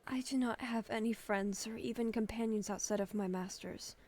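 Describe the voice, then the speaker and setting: A woman's voice: fairly high pitch, moderate pace, darkish tone, lightly acted. A woman playing a polite, deferential, wistful young female genie in a cartoon comic dub, with formal, courteous phrasing. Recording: music behind the voice. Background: music.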